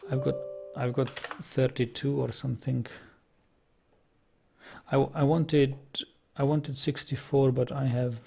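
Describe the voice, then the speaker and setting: A man's voice: medium pitch, gravelly, darkish tone. A man with a Czech accent, a native Czech speaker, giving casual gameplay commentary and answering chat as a streamer, thinking aloud and often restarting his words. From Czech Republic. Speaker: game streamer.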